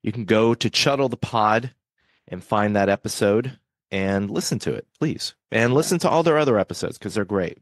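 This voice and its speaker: A man's voice: fairly low pitch, expressive, moderate pace, dry recording, studio quality. A man co-hosting a horror movie podcast in casual chat, joking and laughing often. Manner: jokey, laughs often, upbeat plugs. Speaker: podcast co-host.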